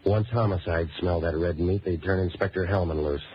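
Deep, wisecracking American noir tough guy, acted by a man. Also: a little fast, lightly acted. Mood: deadpan. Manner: sardonic, deadpan. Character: wisecracking, cynical waterfront boat-rental man, hardboiled noir hero. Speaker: lead actor and narrator. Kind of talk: 1940s radio noir acting. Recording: muffled, noisy old radio recording.

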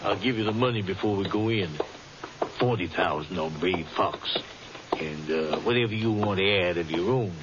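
An actor playing a genteel older colonel and swindler in a radio drama, hemming and clearing his throat in a courtly, confiding way. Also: deep voice, moderate pace, close to an everyday voice. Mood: hearty urging. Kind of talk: old-time radio drama acting. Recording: dull, hissy old radio recording.

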